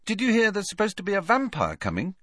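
Plain-spoken schoolboy wizard played by a man, earnest, raised pitch, storybook. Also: wildly swooping pitch, fast, bright, forceful tone, no room sound, studio quality, heavily acted. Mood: friendly. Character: blunt, earnest teenage wizard boy.

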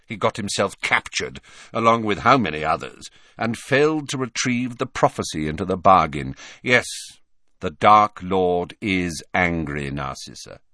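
A man reading an audiobook, voicing a cold, guarded middle-aged wizard who speaks flatly and quietly. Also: sits low, no room sound, studio quality, heavily acted. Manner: flat, quiet, measured.

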